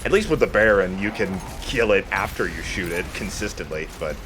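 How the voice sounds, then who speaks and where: A man's voice: sits low, animated, a little fast, background noise. A man giving casual commentary on a video game, with a dry, opinionated way of talking. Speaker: let's play host.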